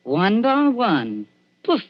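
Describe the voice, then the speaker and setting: A woman's voice: mid-range voice, wildly swooping pitch, drawn-out words, full character voice. An actress playing a sly old underworld woman, wheedling and mock-genteel with menace underneath, in a radio horror drama. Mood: falsely sweet. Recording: dull lo-fi radio recording.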